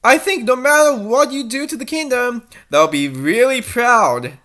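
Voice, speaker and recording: Supportive brother of a princess, played by a woman: reassuring, overdone encouragement, bright raised pitch. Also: expressive, a little slow.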